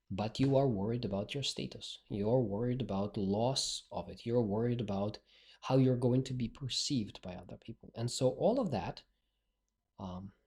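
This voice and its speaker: A man's voice: medium pitch, creaky, darkish tone. A male speaking coach, not a native English speaker, talking bluntly and directly in a solo podcast monologue.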